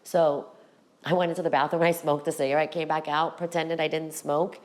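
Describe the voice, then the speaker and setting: Raspy low-voiced woman telling her story. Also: flat delivery, a little fast. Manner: candid, direct address to viewers. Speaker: podcast host. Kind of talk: personal storytelling to camera.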